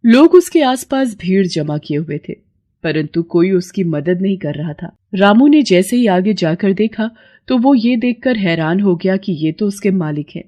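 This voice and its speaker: A woman's voice: mid-range voice, lively intonation, moderate pace, warm tone. A woman narrating a scripted moral tale as storyteller.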